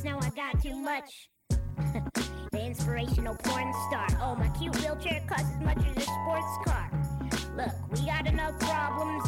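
Woman rapping, high voice, sounds set back from the mic under really loud music. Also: far from the mic. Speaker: rapper. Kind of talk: rap performance, wordplay verses.

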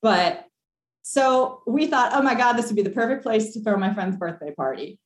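A young woman telling a ghost story on a podcast call, animated and laughing, with lots of fillers. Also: fairly high pitch, moderate pace. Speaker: caller. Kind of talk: casual storytelling. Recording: echoey room.

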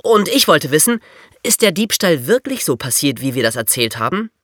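A man's voice: fairly high pitch, very animated, normal speed, fairly bright tone, no echo, studio quality. A man co-hosting a podcast, presenting and narrating in a scripted, storytelling style. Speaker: podcast co-host.

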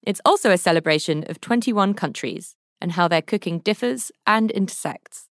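A woman's voice: fairly low pitch, animated, moderate pace, no room sound, clean studio sound. A woman hosting a podcast, reading scripted introductions and narration. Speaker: podcast host.

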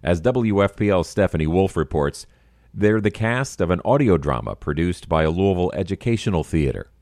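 A man's voice: sits low, animated, a little fast, darkish tone, no echo, clean studio sound. A man anchoring radio news, reading a scripted introduction.

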